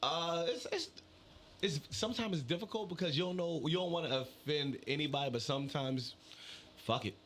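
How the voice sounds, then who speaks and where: A man, a comedian guest, chatting casually and laughing often between halting answers. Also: on the high side, a bit bright, a little hiss or hum. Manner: laughs often, hesitant restarts. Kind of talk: casual chat.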